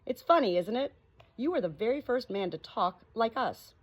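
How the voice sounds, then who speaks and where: A woman's voice: on the high side, animated, normal speed, muffled recording, pitched above the performer's own voice. A woman voicing an old, scornful female parrot in an audiobook, indignant, boastful and full of exclamations. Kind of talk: audiobook character dialogue. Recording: clearly noisy home recording.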